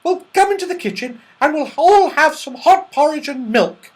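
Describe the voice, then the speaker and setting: A woman voicing an excitable, watchful young fairy-tale girl in a children's story, urgent and exclamatory. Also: high voice, moderate pace, pitched above the performer's own voice. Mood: welcoming.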